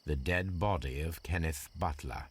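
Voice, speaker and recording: An actor playing a shrewd, analytical gentleman detective in a video game, with an English accent and a precise, formal way of speaking. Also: a bass voice, moderate pace, slightly rough. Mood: deducing, assured. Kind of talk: video game detective monologue, deliberate observations.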